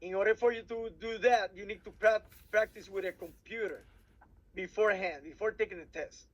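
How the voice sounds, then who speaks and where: A man from Puerto Rico whose first language is Spanish, speaking English with a Puerto Rican Spanish accent. He gives a workshop briefing to cadets, halting and restarting often, repeating words as he goes. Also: high-pitched, steady tone, rough recording. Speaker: cadet program instructor.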